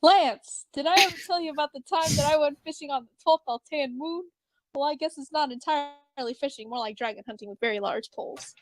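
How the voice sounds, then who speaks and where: Woman playing an excitable, high-pitched alien man. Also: fairly quick, no echo, natural voice, rough recording. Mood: cheerful rambling. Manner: theatrical, rambling. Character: excitable, rambling, flustered alien storyteller man. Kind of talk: fan fiction audio drama reading.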